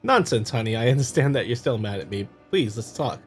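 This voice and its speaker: A man's voice: mid-range voice, big pitch swings, a little fast, close to an everyday voice. Man playing a coaxing young husband, music behind. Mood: indignant. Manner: placating, coaxing delivery. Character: coaxing young husband, suave impostor soothing his wife. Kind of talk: amateur visual novel voice acting.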